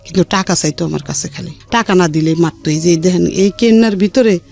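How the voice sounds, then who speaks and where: A woman's voice: on the low side, fairly quick, vocal fry, darkish tone, faint music behind. Plain-spoken Bangladeshi woman telling her life story. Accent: colloquial Bangladeshi. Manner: rambling, colloquial, plain-spoken. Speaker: interview guest. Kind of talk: personal life-story account.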